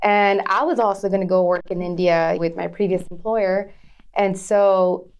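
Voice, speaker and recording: A woman's voice: mid-range voice, steady tone, moderate pace, a little mellow, smooth, dull audio. A woman in her thirties from Arizona, telling her life story as an interview guest, chatty, laughing often and restarting phrases. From Arizona, USA.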